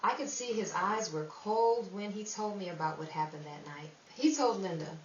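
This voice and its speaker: A woman's voice: medium pitch, animated, moderate pace, lightly acted. A woman playing a world-weary, streetwise narrator of a 1930s underworld tale, in dramatic old-time radio style. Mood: somber. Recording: echoey, distant mic, dull, faint hiss.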